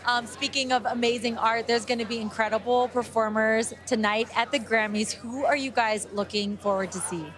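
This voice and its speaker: A woman's voice: on the high side, fairly even intonation, moderate pace, few pauses, a bit bright. A woman co-hosting red carpet interviews, asking friendly, chatty questions. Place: outdoor red carpet at an awards show.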